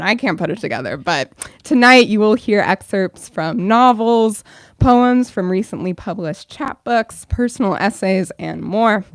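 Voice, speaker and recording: A woman's voice: medium pitch, vocal fry, dry recording, studio quality. A young woman, a bookstore events director, welcoming an audience and then reading her own fiction aloud at a store event.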